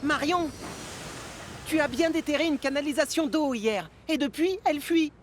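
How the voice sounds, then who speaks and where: A woman's voice: high voice, normal speed, noisy background, close to an everyday voice. A woman voicing a friendly, earnest young male tank engine in a children's cartoon. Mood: worried. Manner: eager, earnest. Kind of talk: children's animated series dialogue.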